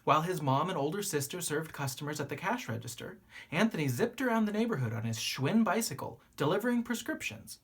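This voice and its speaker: Expressive storybook reader with a husky edge. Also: medium pitch, brisk. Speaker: teacher reading a picture book aloud. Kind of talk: expressive children's storybook read-aloud.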